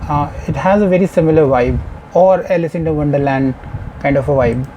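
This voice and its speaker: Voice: medium pitch, a little fast, mellow, dull audio. Musing Indian-accented reactor over music. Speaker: reaction video host. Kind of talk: casual reaction commentary. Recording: music playing behind the voice. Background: music video soundtrack.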